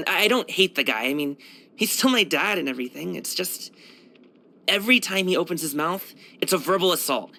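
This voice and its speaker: Bright, brash young martial artist. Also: male voice, sits high, a little fast, vocal fry, full character voice. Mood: frank, frustrated. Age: young adult. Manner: slangy, boyish, animated, self-assured. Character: brash, cheerful young male martial arts dancer and guard. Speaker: video game character voice actor. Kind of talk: casual, conversational game voice lines.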